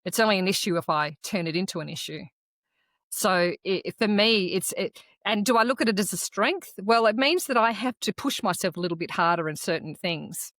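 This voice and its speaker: A woman whose was Croatian, co-hosting a podcast and telling a personal story in an earnest, reflective way with halting restarts. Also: mid-range voice, animated, a bit bright, dry recording, studio quality. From Australia. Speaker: podcast co-host. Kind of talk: casual conversational podcast chat.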